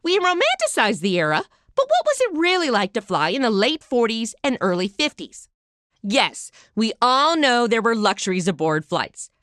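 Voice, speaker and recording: An American woman narrates a history segment as a podcast host. Her voice is fairly high and very lively, swinging freely in pitch. She tells it conversationally with a wry touch, in a dry, close recording space. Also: no room sound. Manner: conversational storyteller, wry asides, rhetorical questions. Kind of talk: scripted informal history narration.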